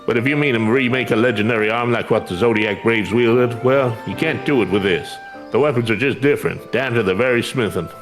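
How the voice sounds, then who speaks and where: A man's voice: medium pitch, fairly quick, close to an everyday voice. A cocky, grumpy male blacksmith from a fantasy game, put on by a man, talks in blunt rustic slang. He sounds sardonic and boastful, and there is music playing behind him. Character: brash, boastful, grumpy male master weaponsmith. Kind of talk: fantasy game dialogue read aloud.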